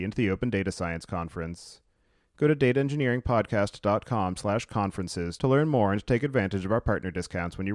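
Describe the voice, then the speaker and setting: A man reading scripted podcast host segments in a measured, articulate way. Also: lowish voice, a little mellow, dry recording, studio quality. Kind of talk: scripted podcast read and interview.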